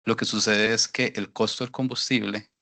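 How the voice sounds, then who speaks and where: A man's voice: fairly low pitch, level tone, normal speed, vocal fry, pushed and bright. A man from Costa Rica asking a question at a workshop, hesitant and searching for words. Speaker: workshop participant. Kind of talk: audience question.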